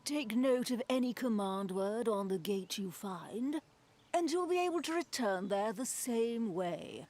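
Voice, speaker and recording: An actress playing a composed, practical military captain in a fantasy video game, instructive and matter-of-fact. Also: female voice, a little high, lively intonation, unhurried, darkish tone. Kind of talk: video game cutscene acting.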